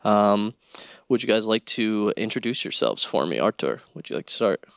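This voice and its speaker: A man hosts a student discussion round in a fairly low voice. He asks questions in a friendly, encouraging way. The sound is dry and close. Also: animated, normal speed, gravelly, a bit bright, clear, resonant tone, no echo. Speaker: host. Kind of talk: hosting a student discussion stream.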